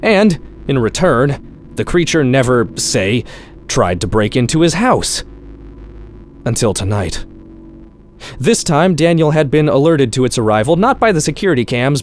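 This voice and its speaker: A man's voice: medium pitch, slight background noise. A man reading a scripted short story in a wry, sardonic storytelling manner, like a horror-comedy audiobook. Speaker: author-narrator reading own short story.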